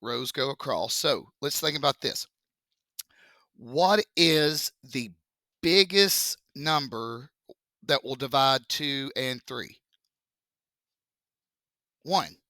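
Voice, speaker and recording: Male teacher with a froggy voice; slow, jokey, bright and forceful tone. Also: mid-range voice, expressive, no room sound, studio quality. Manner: joking, chatty, slow step-by-step explaining. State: deep froggy voice from a cold. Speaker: math teacher. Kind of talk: casual classroom lesson video.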